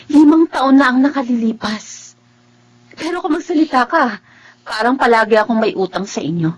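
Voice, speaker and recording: A woman's voice: a little high, expressive, takes it slowly, pauses often, slightly rough, muffled recording, exaggerated voice. An actress playing a proud, hurt single mother in an emotional Filipino radio drama, with a Filipino accent. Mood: bitter. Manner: emotional, emphatic delivery. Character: hurt, defiant single mother, adult woman, proud and tearful.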